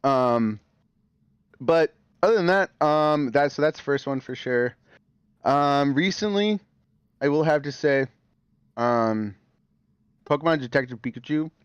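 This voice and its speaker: A man's voice: fairly high pitch, expressive, measured pace, long pauses, clear, resonant tone, dry recording. Rambling man on a dull, lo-fi mic. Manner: rambling, full of fillers and restarts. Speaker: podcast guest. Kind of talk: casual podcast chat.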